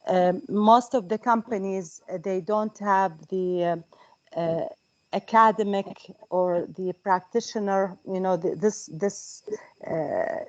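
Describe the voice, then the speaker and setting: A woman's voice: mid-range voice, a little mellow. Hesitant woman on a dull video call. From Kuwait. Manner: hesitant, many ums and restarts, polite. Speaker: participant asking question. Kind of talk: webinar comment and question.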